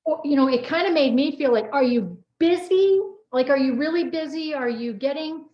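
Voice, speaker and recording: High-voiced American woman in an echoey room. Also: normal speed. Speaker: host and book co-author. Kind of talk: casual personal chat. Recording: echoey room, slightly dull audio.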